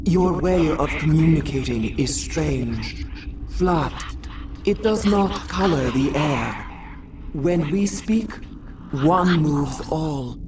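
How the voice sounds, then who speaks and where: Slow, low alien insect queen. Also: distant mic, noisy background. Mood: eerie, solemn. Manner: slow, stilted, flat, poetic, otherworldly phrasing. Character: solemn alien insect queen speaking through a dying thrall. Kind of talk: video game cutscene acting.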